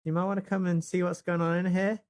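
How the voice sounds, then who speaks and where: Casting: woman tabletop player, slightly low voice, hesitant, casual improvised roleplay. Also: little pitch movement, fast talker, a little mellow. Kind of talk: casual in-character improvised chat.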